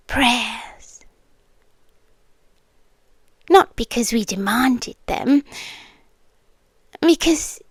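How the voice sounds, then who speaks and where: Woman acting a slow, high-voiced ancient British predator fae. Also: gravelly, no echo, full character voice. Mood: wistful, ominous. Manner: slow, hushed, deliberate, often dropping into whispers. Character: ancient female predator fae, possessive, teasing yandere girlfriend. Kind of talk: ASMR roleplay. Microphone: close microphone, dry.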